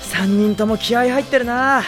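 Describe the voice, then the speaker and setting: A man playing an easygoing, kindly high school boy in an anime audio drama, with a friendly, reactive manner. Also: normal speed, music in the background.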